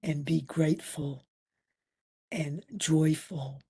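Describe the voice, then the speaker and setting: A woman instructor leading a guided meditation, soothing and deliberate, with long pauses and a breathy voice. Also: sits low, fairly even intonation, mellow. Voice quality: breathy, at times near a whisper.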